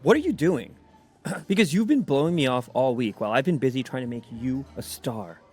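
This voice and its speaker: A man's voice: mid-range voice, animated, fairly quick, a little mellow, husky, faint music behind. An actor playing a pushy, aggrieved young man who hustles as a manager, in a comedy audio drama.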